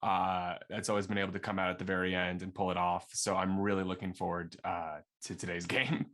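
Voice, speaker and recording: A man's voice: low-pitched, level tone, brisk, a bit bright. A man chatting casually as a panel guest, playfully boastful. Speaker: cast member on a panel. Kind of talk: casual chat.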